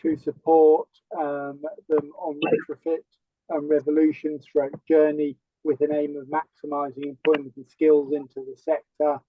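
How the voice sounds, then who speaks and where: A man who works for a charity, introducing himself in a slow, halting way on a webinar video call. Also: fairly high pitch, completely flat delivery, no echo. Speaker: charity worker. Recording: thin phone-like audio.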